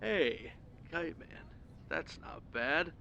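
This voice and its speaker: A man playing a loud, bossy newspaper editor in a fan-made radio play. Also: on the high side, sing-song, slow, gravelly, highs cut off, background noise, exaggerated voice. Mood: excited, bossy.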